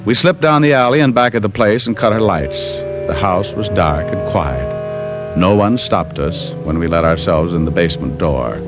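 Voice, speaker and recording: Male lead as cynical gumshoe for vintage radio mystery: deepish pitch, wry wisecracks, dry delivery, prominent music underneath. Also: lively intonation, moderate pace. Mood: wry narration. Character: wisecracking, cynical hard-boiled private detective, narrating his case. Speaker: lead actor and narrator. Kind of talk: old-time radio detective drama acting and narration.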